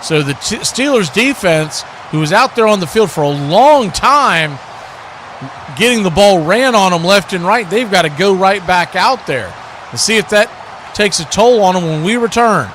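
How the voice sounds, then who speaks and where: A man's voice: sits high, moderate pace. A man doing radio-style live football play-by-play commentary, mixed with casual asides. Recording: steady background noise.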